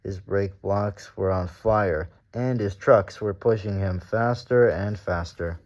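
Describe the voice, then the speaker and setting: A man's voice: lowish voice, takes it slowly, a little mellow, highs cut off. A man narrating a children's storybook aloud as the story reader.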